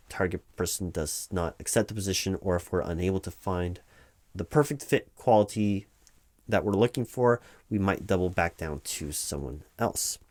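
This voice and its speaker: A man playing a distracted, officious corporate hiring manager in a one-sided comedic roleplay, speaking in a hesitant, rambling, loud way. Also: deep voice, no echo, lightly acted. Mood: distracted, flustered. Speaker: hiring manager conducting a job interview.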